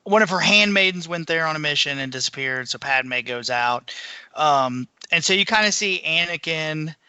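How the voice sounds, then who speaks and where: A man with a slightly high, very sharp and bright voice talks as a podcast co-host. His delivery is a casual, conversational recap. The sound is dry, with hardly any room. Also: lively intonation, normal speed, smooth, dry recording.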